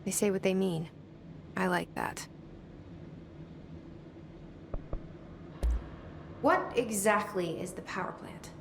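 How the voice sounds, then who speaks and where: An actress playing a guarded young woman in a video game, speaking in terse, hushed, confiding phrases. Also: mid-range voice, normal speed, vocal fry, noisy background, close to an everyday voice. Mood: guarded curiosity. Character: guarded young woman searching for her lost brother. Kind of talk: video game cutscene acting.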